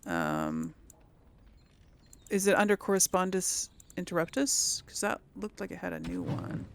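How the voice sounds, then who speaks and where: A woman's voice: fairly low pitch, huge pitch swings, a little slow, creaky, a little hiss or hum. A woman giving casual video game commentary as a host, chatty and hesitant as she thinks aloud.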